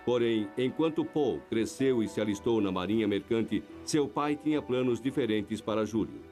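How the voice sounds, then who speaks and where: A man reading a scripted documentary narration in a measured, even way. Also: lowish voice, faint music behind.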